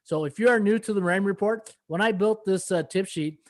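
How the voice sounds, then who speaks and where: A slightly hoarse middle-aged man hosting a live sports betting podcast, rambling through stats and viewer comments with many fillers. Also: sits high, fairly quick. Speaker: podcast host and sports handicapper. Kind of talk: casual live-stream betting analysis.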